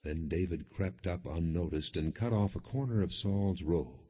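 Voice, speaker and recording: Husky low-voiced man narrating scripture. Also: moderate pace. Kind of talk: scripted narration, non-dramatized Bible reading. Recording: muffled, phone-like narrow band.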